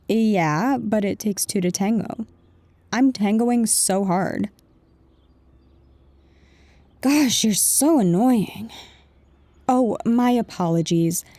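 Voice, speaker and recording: A woman acts out a bickering but affectionate girlfriend in an intimate audio drama. Her voice is mid-pitched and mellow, with slow, conversational delivery and a sarcastic, defensive edge. The recording is very dry and close. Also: expressive, dry recording. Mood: teasing, annoyed. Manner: conversational, exasperated, sarcastic, addressing an unheard partner. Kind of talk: intimate audio roleplay acting.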